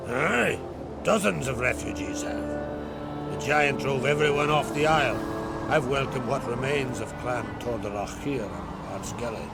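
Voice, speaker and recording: Proud old island jarl, music underneath. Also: male voice, medium pitch, animated, measured pace, gravelly. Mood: weighty, concerned. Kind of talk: dramatic video game dialogue. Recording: game scene with loud music underneath.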